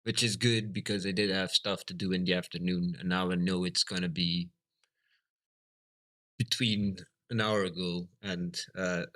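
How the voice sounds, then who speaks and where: A Flemish man from Belgium whose first language is Dutch, chatting casually on a live stream, halting and full of fillers. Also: sits low, fairly even intonation, a bit bright. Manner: halting, lots of fillers and restarts. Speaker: livestreamer.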